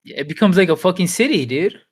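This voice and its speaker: Man in his late twenties, podcast co-host, slangy, swears, slightly high voice. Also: expressive, fast, slightly rough. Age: 20s. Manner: loose, swears casually, calls others dude. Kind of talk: casual chat.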